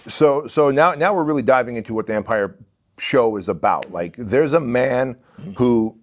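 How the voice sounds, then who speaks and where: A man hosting a podcast interview, eager and quick to chime in. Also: medium pitch, expressive. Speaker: podcast host. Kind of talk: interview conversation.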